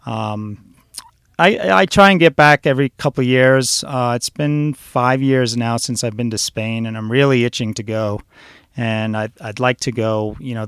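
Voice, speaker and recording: A man's voice: mid-range voice, smooth, no room sound, studio quality. A man guesting on a talk show, chatting loosely and rambling through stories with lots of ums and uhs. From born in Switzerland, raised in New York City. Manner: relaxed, rambling storyteller with frequent fillers. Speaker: chef guest. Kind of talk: casual interview talk.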